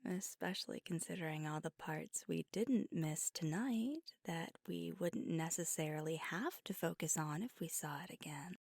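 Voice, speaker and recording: A woman playing a flirty, teasing, affectionate vampire on a date in an intimate ASMR roleplay, speaking softly and often dropping to a whisper. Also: deep voice, creaky, no room sound, clean studio sound. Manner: playful, teasing, slow and intimate. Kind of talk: ASMR roleplay, intimate one-sided dialogue.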